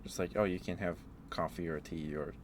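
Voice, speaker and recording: A man streaming casual, rambling ASMR chat, telling stories in a halting, meandering way. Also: deep voice, fairly even intonation. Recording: clearly audible background noise.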